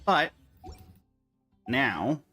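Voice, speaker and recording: A man's voice: medium pitch, big pitch swings, very slow, bright, forceful tone, slightly rough, faint music behind. A man livestreaming a game, chatting casually and in a friendly way with viewers while commenting on his play.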